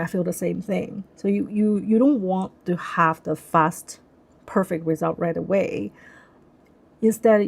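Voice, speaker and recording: A woman's voice: mid-range voice, gravelly, darkish tone. A young woman whose first language is Chinese, hosting a casual live stream. She thinks aloud in English, often restarting sentences as she searches for words. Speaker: livestream host.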